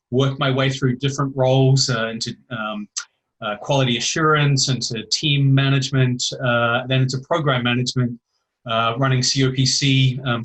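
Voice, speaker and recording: A middle-aged man with a New Zealand accent, a podcast guest answering questions in a halting way, filling with "uh" and correcting himself. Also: mid-range voice, a bit bright, rough recording. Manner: halting, many "uh" and self-corrections. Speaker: guest, customer service executive. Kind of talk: casual interview answer.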